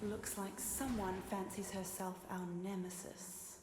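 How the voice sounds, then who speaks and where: A woman voicing a sentient fiery sword in a video game, a vain, sly goddess who speaks in a measured, teasing, haughty way. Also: mid-range voice, little pitch movement, lightly acted. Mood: dry, mocking. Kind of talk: video game character voice lines. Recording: echoey game capture with loud noise.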